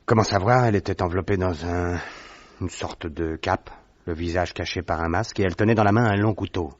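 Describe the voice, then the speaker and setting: A nervous young convalescent, voiced by a man tells his story in an anxious, earnest way. His voice is very low and husky, heard through a dull vintage radio recording. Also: a little fast, dry recording. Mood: shaken. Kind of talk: vintage radio drama acting.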